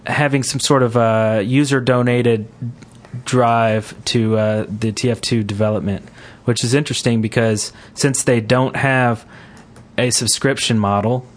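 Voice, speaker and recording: A man hosting a casual gaming podcast, talking in a halting, rambling way. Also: medium pitch, steady tone. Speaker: podcast host.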